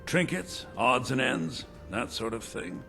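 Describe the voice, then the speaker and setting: Swooping, bright, oily fantasy shopkeeper. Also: male voice, medium pitch, lively intonation, slow pace, vocal fry, faint music behind, full character voice. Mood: sly, confiding. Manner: theatrical, ingratiating sales patter. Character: male shopkeeper, eager, oily, greedy fantasy salesman. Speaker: general store merchant.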